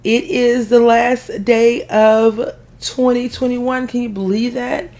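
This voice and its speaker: A woman hosting a craft video, chatting casually as she stamps a card. Her voice is raspy and a little hoarse from being sick, and she rambles and corrects herself as she goes. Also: a little high, fairly even intonation, slow, warm tone. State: recovering from illness, hoarse. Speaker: craft channel host.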